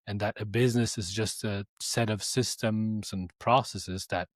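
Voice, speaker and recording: A man answering interview questions as a company co-founder, relaxed and measured. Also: on the low side, dry recording, studio quality. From Norway.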